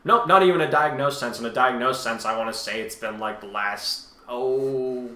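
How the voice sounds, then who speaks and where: Bright-voiced man chatting in an echoey room. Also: medium pitch, normal speed, slight background noise. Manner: rambling, self-correcting. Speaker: host, fan fiction author and reader. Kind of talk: casual discussion of own story.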